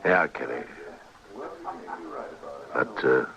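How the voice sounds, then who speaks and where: A man's voice: extremely low pitch, extremely slow, crowd noise, full character voice. An actor playing a stern, weary frontier lawman in a radio Western, speaking tersely and dryly with American authority. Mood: dry, relaxed. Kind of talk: old-time radio Western acting. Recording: muffled old radio recording, background bustle.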